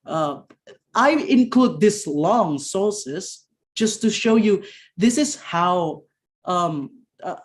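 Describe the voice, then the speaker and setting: Deep-voiced woman giving an academic talk. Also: lively intonation. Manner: halting, many restarts and fillers. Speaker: conference presenter. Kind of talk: academic presentation. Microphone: video call.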